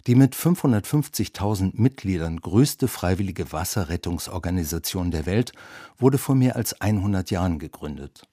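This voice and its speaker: A deep-voiced man reads a scripted feature as a reporter. His tone has a husky grain, and the sound is dry and close. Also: animated, moderate pace, mellow, no room sound. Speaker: reporter narrating a radio feature. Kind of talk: scripted narration.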